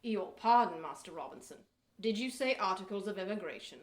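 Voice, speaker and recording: A woman's voice: medium pitch, expressive, brisk, lightly acted. A woman playing a polite, confident English merchant, a man, in an amateur historical audio play. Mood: polite, assured. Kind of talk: amateur audio-drama acting. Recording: echoey room.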